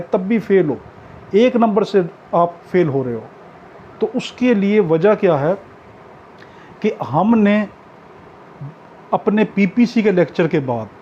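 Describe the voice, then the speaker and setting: A man's voice: high-pitched, darkish tone. A man with a Pakistani accent teaching as a tutor, explaining instructively to students and repeating key points for emphasis. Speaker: law exam tutor. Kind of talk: informal lecture to students. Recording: dull lo-fi audio with background noise.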